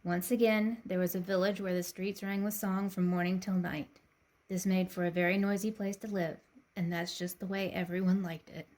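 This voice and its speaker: A woman's voice: lowish voice, little pitch movement, normal speed, a little mellow. A woman storyteller expressively reading a children's story aloud. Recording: faint background noise.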